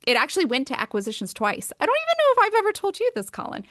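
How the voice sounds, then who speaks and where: A woman's voice: very high-pitched, huge pitch swings, racing, a bit bright, no echo, clean studio sound. Chatty, enthusiastic American woman author. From United States. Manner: enthusiastic, chatty, many fillers like um and like. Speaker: author and guest. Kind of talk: casual interview conversation.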